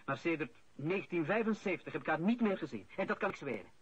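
A man's voice: a little high, animated, fast talker, husky. An actor playing an aggrieved, indignant male civil servant in a radio drama, protesting and stammering. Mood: defensive. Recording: dull old radio-play recording.

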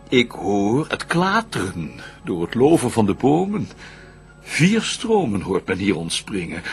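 A vengeful fallen prince of hell, declaims verse in a radio play. The delivery is slow and grandiose, with a gravelly grain and sweeping intonation. He sounds proud, brooding and full of schemes. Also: medium pitch, full character voice. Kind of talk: theatrical verse radio drama.

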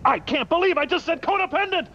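A cocky high little robot guy. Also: lively intonation, fast, pushed and bright, heavily acted. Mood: indignant. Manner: blustery, indignant, smooth-talking. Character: cocky small male robot, vain and indignant. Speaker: show regular. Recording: dull lo-fi TV recording with faint hiss.

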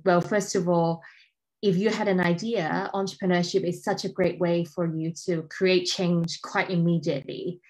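A woman in her thirties from Hong Kong, giving a casual talk with slides over a video call, with frequent ums and restarts. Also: fairly low pitch. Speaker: guest speaker.

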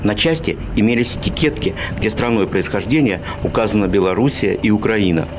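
A man's voice: lowish voice, a little fast. A man reading a formal scripted news report as a correspondent. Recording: noisy outdoor background sound.

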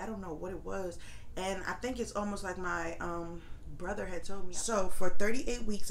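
A young woman talks openly to the camera from her sofa at home, her slightly low voice stuffed-up and nasal. She rambles loosely, full of ums and likes, with a faint hum of room ambience behind her. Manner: candid, rambling, many fillers. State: congested, nasal voice. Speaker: vlogger. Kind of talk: casual pregnancy update.

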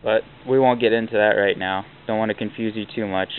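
Man, low-ish voice, blunt workshop instructor, casual tutorial, tinny camera audio with light hiss. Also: steady tone, brisk, smooth. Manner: plainspoken, blunt, with fillers like "like". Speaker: welding instructor. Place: workshop, chalking on a steel plate.

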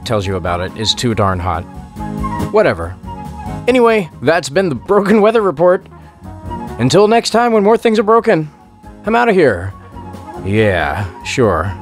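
A man's voice: fairly high pitch, big pitch swings, moderate pace, long pauses, faint music behind. A man giving casual, wry commentary as host, in a sardonic and offhand manner. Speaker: host of a hobby radio monitoring show.

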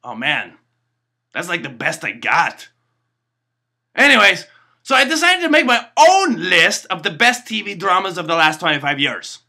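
A man doing a raspy dim puppet host. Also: high-pitched, sing-song, moderate pace, pushed and bright, exaggerated voice. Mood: deadpan. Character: naive self-important male puppet host, cheerfully dim. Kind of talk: comedic puppet show monologue. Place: indoor bedroom.

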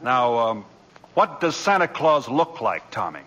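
An actor playing a confident, courteous defense lawyer in 1940s radio style. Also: male voice, mid-range voice, sing-song, measured pace, fairly bright tone, muffled recording. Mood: gently questioning. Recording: noisy vintage radio recording.

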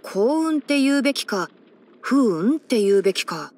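A woman's voice: on the high side, very animated, normal speed, darkish tone. A woman voicing a young male fox-eared ranger in a video game, scholarly and sensible, speaking in a composed, articulate, mildly wry way. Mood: dry, cautioning. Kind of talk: scripted video game character voice-over.

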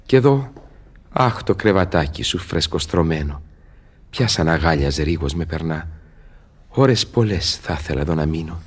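Lovesick tormented scholar in a radio play, really deep husky voice, solemn and declaiming. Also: male voice, lively intonation, heavily acted. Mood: rapt, tender. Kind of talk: theatrical radio drama verse acting.

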